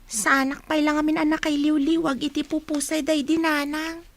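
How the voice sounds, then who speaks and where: A woman with an Ilocano accent playing a dutiful, gentle student and eldest sister in a radio drama. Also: sits high, little pitch movement, normal speed. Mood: earnest.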